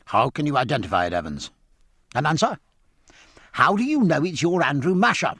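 A man voicing a composed naval captain who speaks in a measured, commanding way. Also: on the high side, sing-song, a bit bright, breathy edge, no echo, clean studio sound, voice pushed up, heavily acted. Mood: authoritative. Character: composed, authoritative male ship's captain.

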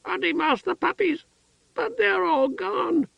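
A man voicing an excitable, childlike village woman who shouts and chatters eagerly. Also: very high-pitched, unhurried, gravelly, no room sound, voice pushed up, heavily acted. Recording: dull lo-fi dry recording.

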